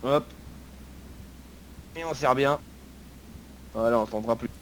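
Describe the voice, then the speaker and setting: A man's voice: medium pitch, slow pace, a bit bright, poor audio. A man improvising as a police officer in online role-play voice chat, bossy and scolding. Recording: faint noise on game voice chat.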